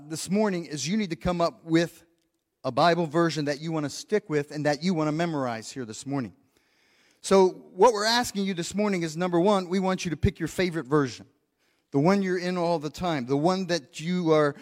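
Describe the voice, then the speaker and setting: Male pastor, slightly high voice, earnest teaching tone, stumbles and repeats words, long hall reverb. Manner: earnest, repeats words while thinking, asks the congregation questions. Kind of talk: practical sermon teaching. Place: church sanctuary.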